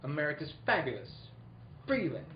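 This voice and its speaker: A woman playing a grandly excited old-time network radio announcer introducing a detective show. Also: low-pitched, moderate pace. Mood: grand announcing. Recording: roomy, dull, slightly noisy recording.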